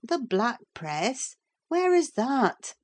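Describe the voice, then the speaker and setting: A woman's voice: a little high, very animated, drawn-out words, darkish tone, pitched above the performer's own voice, heavily acted. A woman voicing a sensible, firm female servant in a classic-novel audiobook, matter-of-fact, insistent and chiding as she soothes her mistress. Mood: puzzled, firm.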